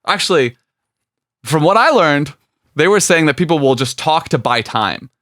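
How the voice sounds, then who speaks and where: A man's voice: on the high side, expressive, moderate pace, fairly bright tone, no room sound, clean studio sound. A man co-hosting a casual podcast who thinks aloud, hedging his guesses and joking. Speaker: podcast co-host.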